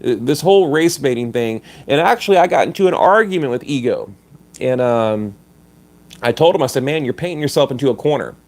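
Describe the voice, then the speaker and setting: A man hosting a casual livestream who is stuffed up and a little hoarse, talking bluntly and combatively while reading viewer comments, often restarting his sentences. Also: mid-range voice, lively intonation, a little fast, a little mellow. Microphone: phone.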